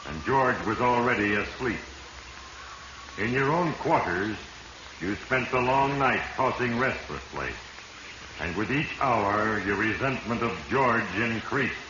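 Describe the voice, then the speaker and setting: A man's voice: medium pitch, unhurried, natural voice. A male actor playing an ominous voice of fate, narrating a 1940s radio drama solemnly in an American accent. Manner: solemn, ominous second-person storytelling. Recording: muffled, noisy 1940s radio recording, echoey.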